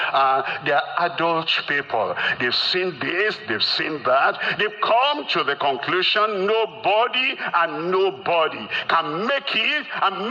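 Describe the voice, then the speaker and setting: An older man delivering an emphatic sermon, speaking deliberately and repeating phrases for emphasis. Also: fairly high pitch, bright, forceful tone, lo-fi sound. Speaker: preacher.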